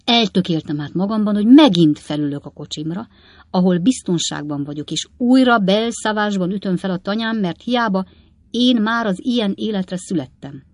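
A woman's voice: medium pitch, animated, darkish tone, no room sound, pitched above the performer's own voice, lightly acted. Woman acting as old coachman, grumbling, pompous, emphatic, indignant storybook father, dry close sound. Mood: earnest. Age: older. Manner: emphatic, indignant, homespun reasoning.

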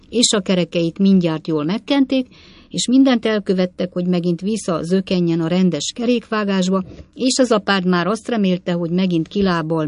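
A woman's voice: medium pitch, darkish tone, dry recording, close to an everyday voice. A woman reading an audiobook voices a plain-spoken old widowed father in long run-on phrases with quaint formality. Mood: solemn rambling. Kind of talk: audiobook letter reading in character.

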